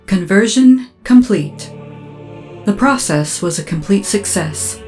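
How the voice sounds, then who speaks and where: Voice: medium pitch, expressive, takes it slowly. Performer as starship computer, clipped, emotionless, procedural, audio drama, music behind. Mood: detached report. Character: ship's computer, brisk and emotionless.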